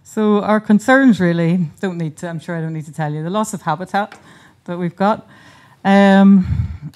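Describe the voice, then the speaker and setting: A woman giving an earnest, informal conference talk in a hotel conference room, often pausing and restarting her sentences. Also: on the low side, a little mellow. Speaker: conference speaker and seed charity founder. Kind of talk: conference presentation.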